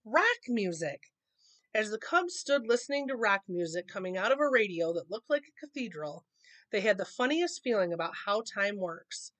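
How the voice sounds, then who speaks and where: A woman reading a children's picture book aloud in an expressive storytelling manner. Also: mid-range voice, moderate pace, dry recording. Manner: expressive reading, acting out dialogue.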